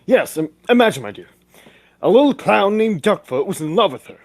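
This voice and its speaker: A man acting a pompous, excitable old-fashioned gentleman sidekick in a 1940s radio play, with flowery exclamations. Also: high-pitched, a little fast, slight background noise. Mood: mystified, dramatic.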